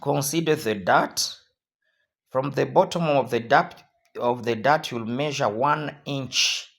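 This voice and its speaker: Hoarse male tutorial host, slow and repetitive instructional delivery. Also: mid-range voice.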